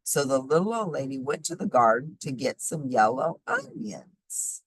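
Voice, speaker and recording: A woman narrates a children's storybook with hugely animated pitch and a husky tone. The sound is close and dry, and she leans deliberately on repeated phrases. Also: dry recording, poor audio. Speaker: storybook reader.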